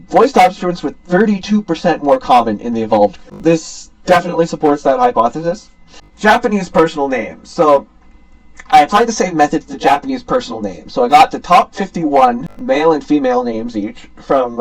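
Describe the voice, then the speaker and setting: A man's voice: on the high side, vocal fry, far from the mic, low-quality recording. A young man giving an academic student presentation in an informal, somewhat hesitant explaining manner. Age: young adult.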